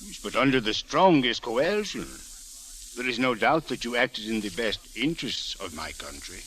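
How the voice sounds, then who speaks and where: An actor playing a courteous, philosophical police chief who speaks in formal, measured phrases in an old radio drama. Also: male voice, mid-range voice, big pitch swings, fairly bright tone, rough recording. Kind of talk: 1940s-50s radio drama acting. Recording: hissy old radio recording.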